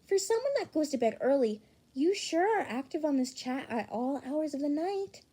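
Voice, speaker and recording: A woman voicing a bubbly, teasing, excitable teenage student in an audiobook, playful and gushing. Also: high-pitched, normal speed, voice pushed up. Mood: gleeful.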